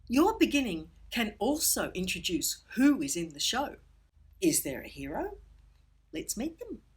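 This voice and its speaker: A woman presenting an instructional workshop for children in a theatrical, expressive storyteller's way. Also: mid-range voice, a little slow, husky.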